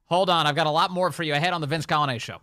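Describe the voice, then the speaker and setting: Man, radio talk host, bright punchy voice, slightly high pitch, dry close studio sound, conversational political commentary. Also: steady tone, very fast, no room sound.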